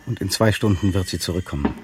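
An actor playing a brooding, cynical poet in a radio drama monologue, talking to himself haltingly and slipping into declamation. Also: male voice, low voice, music in the background, close to an everyday voice. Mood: muttering, resigned. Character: brooding, cynical man, a poet, waiting restlessly at home. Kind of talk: 1960 German radio drama interior monologue.

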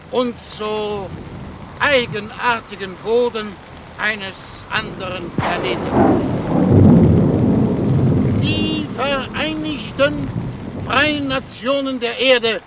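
An actor playing a pompous foreign minister who speaks in halting, ceremonious phrases in a 1950s German radio drama. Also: male voice, very high voice. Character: pompous male foreign minister, a stately diplomat. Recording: loud storm noise, old radio play.

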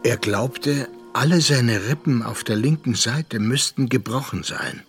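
An actor narrating a literary radio play as a grave, reflective storyteller with measured delivery. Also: male voice, mid-range voice, faint music behind, lightly acted. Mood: hushed.

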